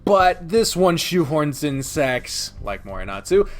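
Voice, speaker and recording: A man's voice: a little high, very animated, unhurried, faint music behind. A man giving a casual, opinionated video review, speaking bluntly and emphatically with exasperation and plenty of swearing. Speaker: video host reviewing games.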